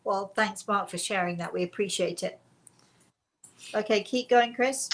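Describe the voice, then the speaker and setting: A woman's voice: mid-range voice, normal speed. A woman hosting a spiritual show, chatting casually and emphatically with a guest and viewers, often repeating herself. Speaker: host of a spiritual story and question show.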